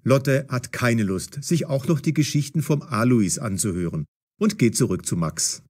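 Husky, expressive male storyteller. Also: mid-range voice, moderate pace. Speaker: narrator. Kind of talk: scripted audio story narration.

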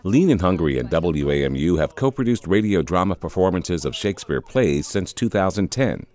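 A man's voice: sits low, lively intonation, moderate pace, a little mellow. An American man reading scripted narration for a promotional documentary.

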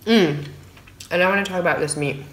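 A woman's voice: lowish voice, brisk, a little hiss or hum. Chatty woman eating at home, echoey room. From San Francisco, USA. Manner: relaxed, chatty, talking between bites of food. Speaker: food vlogger. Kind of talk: casual eating vlog. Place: small room at home, indoors at a dining table. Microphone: phone camera on the table.

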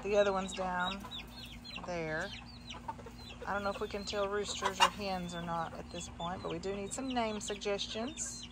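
Brief: older woman, chatty backyard chicken keeper, outdoors, hens clucking clearly behind her. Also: medium pitch, fairly even intonation, normal speed, very noisy. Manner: chatty, sing-song greetings to her hens. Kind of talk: casual walk-through chat.

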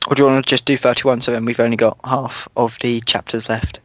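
Young man, deadpan, slow dramatic reading, dry close voice-chat mic. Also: mid-range voice, dry recording. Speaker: reader of a fan fiction. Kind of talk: mock-dramatic reading over gameplay.

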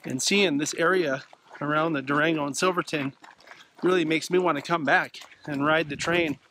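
A man's voice: fairly high pitch, steady tone, moderate pace, background noise. A middle-aged man chatting enthusiastically to a handheld camera outdoors, restarting words as he walks. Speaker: vlog host. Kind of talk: casual vlog narration to camera. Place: outdoors in open mountain country. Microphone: handheld camera at arm's length.

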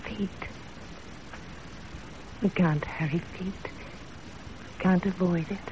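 An actress playing a gentle, fatalistic young woman in love in a 1930s radio drama. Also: fairly low pitch, fairly even intonation, drawn-out words. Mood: hushed, tender. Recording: noisy, dull 1930s radio recording.

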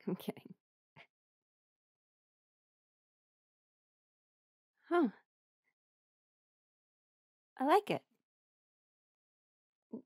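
Young female musician, friendly and playful, halting conversational delivery for intimate audio roleplay, dry sound played by a woman. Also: lowish voice, dry recording. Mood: teasing, warm. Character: friendly, teasing young woman musician, tired bandmate on tour.

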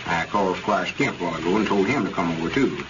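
An actor playing a worried, self-important old country store owner in folksy rural dialect, in 1930s radio comedy style. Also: male voice, low voice, quick pace, natural voice. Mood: explaining. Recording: muffled, noisy old radio recording.

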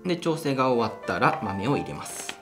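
Fast, animated young man explaining coffee. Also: medium pitch. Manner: enthusiastic, chatty, laughs while explaining. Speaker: coffee channel host. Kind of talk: casual how-to demonstration. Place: small indoor home café room. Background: background music.

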